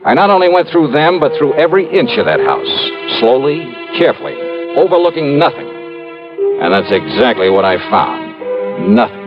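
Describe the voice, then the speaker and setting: An American actor playing a brisk, self-assured male insurance investigator in an old-time radio drama, with clipped, wry delivery. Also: on the high side, big pitch swings. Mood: brisk, wry narration. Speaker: lead actor and narrator. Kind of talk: old-time radio detective drama, first-person narration. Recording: dull old radio recording with music behind.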